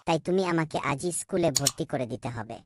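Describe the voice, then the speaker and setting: A woman's voice: low-pitched, animated, normal speed, background noise. A woman voicing an insistent young village boy in a cartoon, whining and pleading.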